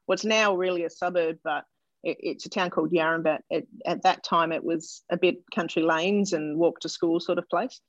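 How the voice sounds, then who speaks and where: A gravelly-voiced Australian woman talks through her answers slowly and thoughtfully, pausing and restarting as she gathers her words. She sounds like she's on a dull, lo-fi remote line. The room around her is dry and close. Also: on the low side, steady tone, no echo. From Australia (Melbourne). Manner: thoughtful, hesitant, lots of fillers and restarts. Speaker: doctor and interview guest. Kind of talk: casual interview answers.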